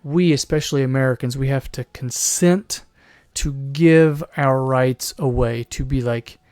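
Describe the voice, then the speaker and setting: Hoarse man rambling on a podcast. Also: medium pitch, a little slow, a little mellow, no room sound, studio quality. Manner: rambling, with restarts and throat clearing; mocking put-on voices in quoted asides. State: losing his voice. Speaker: podcast host. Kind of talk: solo commentary podcast.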